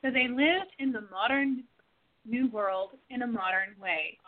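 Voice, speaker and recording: A woman's voice: on the high side, measured pace. A woman narrator reading scripted scene introductions and credits for a radio play. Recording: tinny phone-like line.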